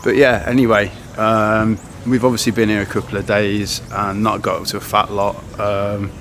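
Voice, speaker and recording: A man's voice: on the low side, flat delivery, normal speed, a bit bright. A young man chatting casually to a handheld camera as a travel vlogger, rambling with lots of fillers. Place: outdoors in towns and on the road. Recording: outdoor camera audio with noise.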